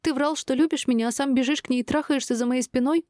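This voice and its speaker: Woman voicing a shrill, hysterical jealous young wife. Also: expressive, normal speed, no echo, clean studio sound. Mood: tearful fury. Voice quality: shrill, squealing. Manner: yelling, accusing, tearful.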